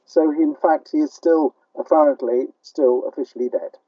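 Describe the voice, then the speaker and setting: A man's voice: a little high, normal speed, mellow, dry recording. An older man with a British accent answering interview questions conversationally as a playwright, a little hesitant, over a remote call line. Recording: dull, band-limited call line.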